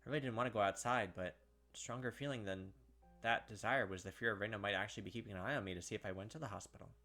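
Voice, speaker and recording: A man's voice: on the low side, bright, forceful tone. Man reading aloud over game music. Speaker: let's play host. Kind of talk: reading visual novel narration aloud with casual commentary.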